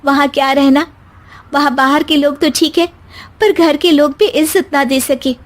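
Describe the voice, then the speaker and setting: A woman's voice: high-pitched, steady tone, moderate pace, pitched above the performer's own voice, lightly acted. A woman voicing a spirited, educated young daughter-in-law from the city, earnest and outspoken, in a story. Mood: hurt, defiant.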